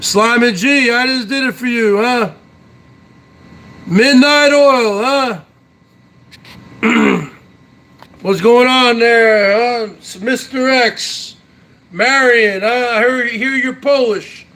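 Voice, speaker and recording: A man's voice: extremely high pitch, slow, pauses often, pushed and bright. A man in his forties hosting his own online show, chatting casually to viewers in a brash, boastful way and tagging his lines with "huh?". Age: 40s. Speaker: YouTube show host. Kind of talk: casual solo chat to viewers.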